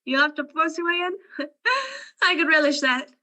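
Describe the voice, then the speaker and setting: A woman's voice: high voice, sing-song, normal speed, sharp tone, heavily acted. A woman playing a brazen, fragile young liar in a radio crime drama. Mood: taunting, playful. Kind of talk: old-time radio crime drama acting.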